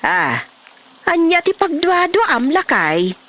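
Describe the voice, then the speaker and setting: A woman's voice: very high-pitched, sing-song, takes it slowly, fairly bright tone, exaggerated voice. An actress playing a middle-aged, puzzled wife and mother in an Ilocano comedy drama, with an Ilocano accent. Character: puzzled, questioning wife and mother of a grown son.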